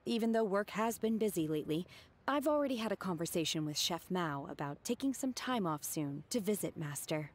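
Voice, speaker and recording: A woman voicing a calm, reserved young female disciple in a video game, speaking in a formal, matter-of-fact way. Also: lowish voice, a little fast. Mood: composed.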